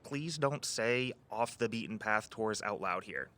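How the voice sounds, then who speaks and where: An actor playing a playful, theatrical tour guide with a flowery turn of phrase in an audio drama. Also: male voice, on the low side, animated, unhurried, bright, forceful tone. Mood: confiding. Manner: grandiose mock-archaic speech.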